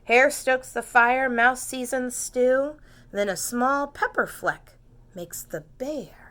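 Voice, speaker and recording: A woman teacher reads a picture book aloud to preschool children at a very slow, patient pace. Her voice is bright and somewhat high, and she often sinks into soft whispers. She invites the listeners to read along with her.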